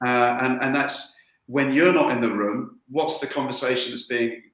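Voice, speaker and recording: A man's voice: medium pitch, steady tone, normal speed, fairly bright tone. A man from the UK who works as a transformational coach, speaking emphatically on a webinar panel and repeating phrases for effect. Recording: echoey room.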